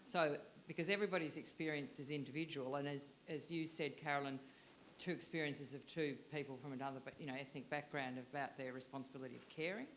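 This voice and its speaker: A woman's voice: low-pitched, quick pace, low-quality recording. Woman asking from the floor, some noise. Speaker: audience member, researcher asking a question. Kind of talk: spontaneous question from the floor. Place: hotel conference room. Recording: background room noise.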